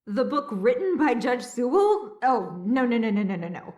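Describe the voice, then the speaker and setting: A woman's voice: fairly high pitch, lively intonation, moderate pace, darkish tone, close to an everyday voice. An actress playing a nervous, fussy castle museum historian in an adventure game, bookish and hesitant as she eagerly explains. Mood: uneasy. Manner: hesitant, repeating words, bookish. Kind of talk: scripted adventure game dialogue. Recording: roomy, echoing voice.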